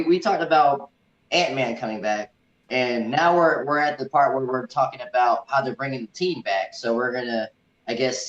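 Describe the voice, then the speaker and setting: Halting man in an echoey room. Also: mid-range voice. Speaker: co-host of a movie review show. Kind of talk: casual film discussion with live comments. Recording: dull, echoey room sound.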